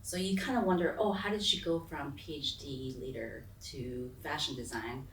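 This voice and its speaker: Low-voiced American woman, echoey room. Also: moderate pace. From raised on US military bases, largely in South Korea. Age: 40s. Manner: candid, informal, digressive. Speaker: interview guest. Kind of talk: conversational life-story telling. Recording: echoey room, distant mic, faint noise.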